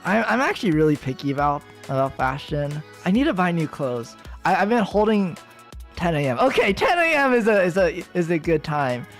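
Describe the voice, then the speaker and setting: Young american guy streaming, kinda high voice, giggly rambly chat, faint music behind. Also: sing-song, normal speed. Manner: rambling, giggly, lots of fillers and restarts. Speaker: VTuber streamer. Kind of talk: casual livestream chat with viewers.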